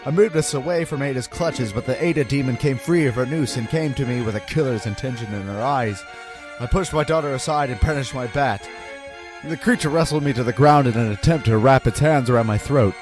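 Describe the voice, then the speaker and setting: A man's voice: medium pitch, close to an everyday voice. A man playing a frightened husband and father, recounting a haunting in grave, dramatic first-person horror narration. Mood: panicked urgency. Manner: grave, dramatic, deliberate phrasing. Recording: loud music under voice.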